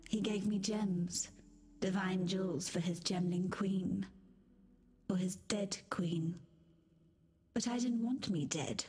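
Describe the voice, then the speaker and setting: A woman's voice: medium pitch, takes it slowly, long pauses, a little mellow, natural voice. A woman playing a mad, bitter, undying queen in a video game, muttering and repeating herself theatrically. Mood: mournful, bitter. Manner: unhinged, repetitive, theatrical, muttering.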